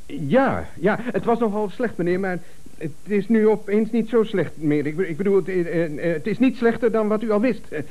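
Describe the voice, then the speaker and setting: High voiced nervous office underling, stammery and super eager, swoops all over, old radio comedy. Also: male voice, lively intonation, normal speed, a little mellow, poor audio. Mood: nervous, flustered. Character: flustered, eager-to-please male office subordinate with timid bluster. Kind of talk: 1970s Dutch radio comedy acting.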